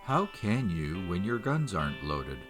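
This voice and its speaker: A man playing a calm, reassuring adventurer father in an audio drama, long separated from his son. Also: low-pitched, measured pace, soft background music, heavily acted. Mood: steady.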